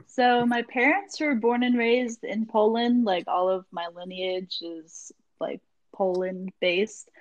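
A young woman from Florida talks casually about her background, with lots of ums and likes as she wanders between thoughts. Her voice sits at an ordinary pitch. The sound is close and dry. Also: steady tone, takes it slowly, no echo, dull audio. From Jacksonville, Florida. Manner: rambling, many ums and likes. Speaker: magazine founder, guest. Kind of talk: casual interview chat.

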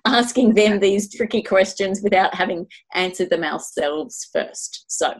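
Australian woman podcaster with a mid-pitched voice. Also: unhurried. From Melbourne, Australia. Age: 50s. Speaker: podcast host. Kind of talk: casual podcast chat.

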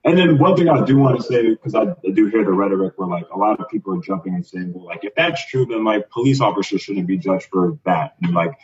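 A man talking quickly and emphatically in a casual podcast discussion. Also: on the low side, slightly rough. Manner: rapid, animated, emphatic. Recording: dull lo-fi audio.

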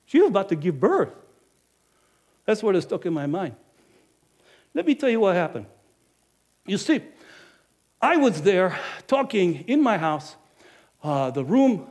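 A man playing a businesslike village innkeeper in an animated first-person monologue, emphatic and speaking straight to the audience. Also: high voice, normal speed, long pauses. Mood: self-justifying. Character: businesslike male village innkeeper, proud then guilty.

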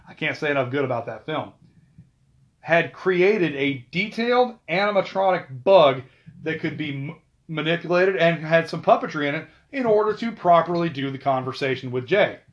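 A man casually talking through film trivia, chatty, with asides and quick self-corrections. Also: fairly high pitch, dull audio. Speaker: video host.

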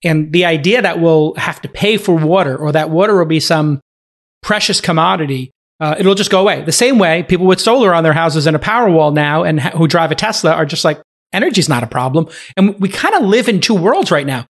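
A man's voice: on the high side, lively intonation, fairly quick. A man in his late forties hosting a podcast, chatty and enthusiastic in casual interview talk. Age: 40s. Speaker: podcast host.

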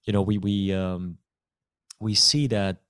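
A man hosts a podcast conversation, asking long, winding questions full of restarts and "you know". His voice is very low. The sound is dry, with no room echo. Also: steady tone, slow, a bit bright, clean tone. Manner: enthusiastic, restarts often, frequent "you know". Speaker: podcast host. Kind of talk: casual podcast interview.

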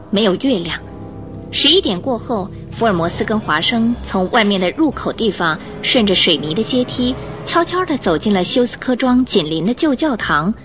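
Female storyteller for a mystery radio play, expressive and animated, mid-pitched, over a music bed. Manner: expressive, suspenseful. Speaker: narrator. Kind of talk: dramatic scripted storytelling for radio drama. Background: music under the voice.

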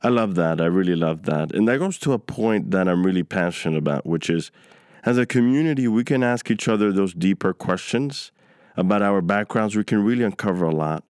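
A man from New York hosting a conversational interview in an affirming, deliberate way. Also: low-pitched, lively intonation, darkish tone, smooth, no echo, clean studio sound. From New York, United States. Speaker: interviewer, host.

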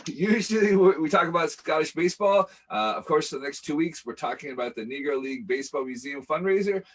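A man's voice: a little high, fairly quick, a bit bright, slight background noise. A man hosting a casual show, upbeat and enthusiastic. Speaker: host. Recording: distant, husky mic sound.

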